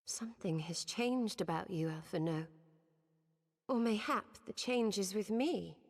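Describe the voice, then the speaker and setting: A woman's voice: mid-range voice, a little slow. A woman playing a composed, wise mage in a video game, speaking formally. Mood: calm, grave. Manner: archaic, formal phrasing. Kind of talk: video game cutscene acting. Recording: long hall-like reverb.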